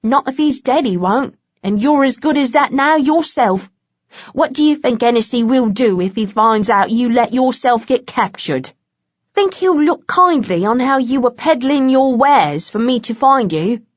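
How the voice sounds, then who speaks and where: A woman's voice: on the high side, animated, unhurried, dry recording, dull audio, pitched above the performer's own voice. A woman voicing a cool, menacing male vampire with a pleasant, mocking manner. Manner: pleasant but threatening, mocking.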